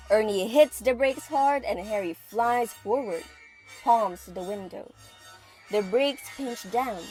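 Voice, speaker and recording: A woman giving vivid, dramatic scripted narration for an audio drama. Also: on the high side. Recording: music under the voice.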